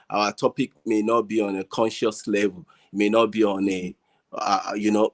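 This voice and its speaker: A man with a Nigerian accent talking casually as a panel guest, haltingly repeating words as he searches for his phrasing. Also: lowish voice. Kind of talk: casual discussion. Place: online group video call.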